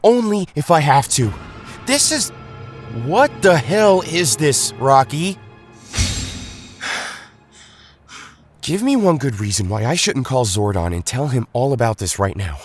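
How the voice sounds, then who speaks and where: A man's voice: medium pitch, big pitch swings, takes it slowly, pauses often, soft background music. A man playing a stern young superhero team leader in an audio drama, reproachful and emphatic toward a friend. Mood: angry, reproachful. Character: Tommy, stern young male Power Ranger leader, disappointed friend.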